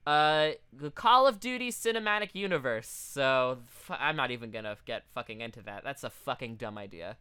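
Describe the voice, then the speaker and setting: Guy doing a comedy podcast, super lively pitch, bright voice, swears casually, dry close sound. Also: normal speed, few pauses, clean tone, dry recording. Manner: profane, riffing. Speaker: podcast co-host.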